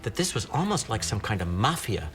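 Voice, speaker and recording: A man speaking as a documentary interviewee, telling the story with animated, lively delivery. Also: lowish voice, brisk, music in the background. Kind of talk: reflective documentary commentary.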